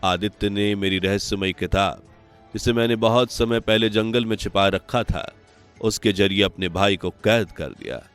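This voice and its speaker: A man voicing an old wandering holy man in an animated story, humble, solemn and measured. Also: lowish voice, clear, resonant tone, faint music behind. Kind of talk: animated moral story voice acting.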